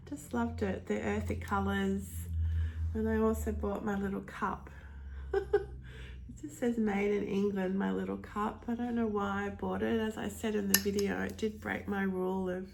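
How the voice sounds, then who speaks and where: Slow-talking nasal woman. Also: mid-range voice, vocal fry, slight background noise. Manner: slow, gentle, with frequent ums. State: hay fever congestion. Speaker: thrift vlogger. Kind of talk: casual show-and-tell of thrift finds.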